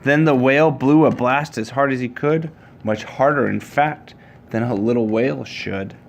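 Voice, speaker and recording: Male reader, dad at storytime. Measured, rhyming picture-book delivery, medium pitch, slightly noisy home recording. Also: gravelly. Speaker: father reading to his child. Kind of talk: reading a children's picture book aloud.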